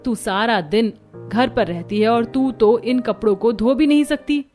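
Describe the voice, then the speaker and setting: A woman's voice: a little high, a little slow, a little mellow, faint music behind, close to an everyday voice. A woman acting a fawning older mother-in-law. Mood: scolding. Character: older mother-in-law, doting on the rich daughter-in-law. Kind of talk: Hindi cartoon family story acting.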